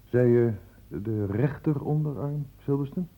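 An actor playing a persistent male doctor investigating an infection in a Dutch radio drama, questioning deliberately. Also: medium pitch, narrow, tinny audio. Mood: pensive, probing.

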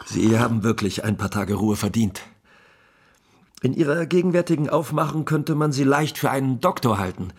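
An actor playing an affable, talkative captain of the imperial guard in a German radio drama, chatty, courteous and persuasive, with a husky voice. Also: male voice, mid-range voice, expressive, moderate pace.

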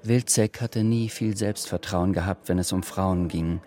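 A man narrating a literary radio drama in a measured, sober scripted style. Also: low-pitched, fairly even intonation, dark tone.

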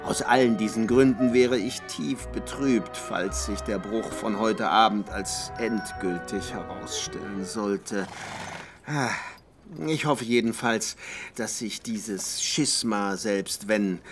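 A pompous man of learning holds forth in a literary radio play. His voice is lowish and his delivery slow and hesitant, relishing every ornate phrase like gossip, with faint music behind him. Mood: grave, pompous. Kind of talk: German literary radio drama acting.

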